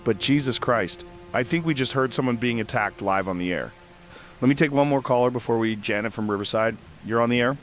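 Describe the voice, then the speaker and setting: A man playing a somber, shaken late-night radio call-in host, speaking gravely and earnestly. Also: medium pitch, a little fast, soft background music, close to an everyday voice.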